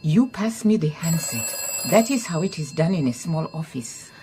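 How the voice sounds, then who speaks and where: An actress playing a calm, shrewd, kindly woman detective in a radio drama, measured and politely firm, with a Southern African accent. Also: sits low, noisy background. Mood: calm, businesslike. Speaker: lead actor in a radio drama. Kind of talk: radio drama acting with reflective first-person narration.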